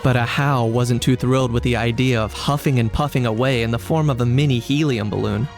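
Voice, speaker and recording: An actor playing a pragmatic, unbothered young man in a video game, speaking in a measured, matter-of-fact deadpan. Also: mid-range voice, vocal fry, soft background music, natural voice. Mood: dry, casual. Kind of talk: English video game dub acting.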